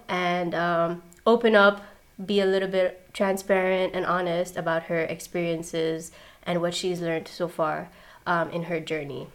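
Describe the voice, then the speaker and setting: An upbeat woman opens her podcast and talks straight to the listeners. Her voice sits at an ordinary mid pitch, and she sounds earnest and friendly-casual. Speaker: podcast host.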